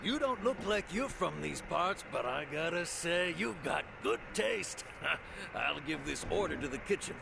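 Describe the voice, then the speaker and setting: Unhurried, gravelly tavern server. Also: male voice, on the high side, animated, exaggerated voice. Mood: welcoming. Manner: hospitable, unhurried. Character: friendly, easygoing tavern server greeting customers. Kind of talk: video game character acting. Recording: game audio with loud background noise.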